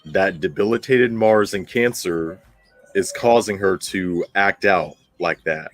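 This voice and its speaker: A man's voice: low voice, slow, fairly bright tone, faint music behind. A man hosting an astrology commentary, part reading and part ad-libbing, speaking bluntly and emphatically and repeating phrases for emphasis. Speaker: host of an astrology commentary channel.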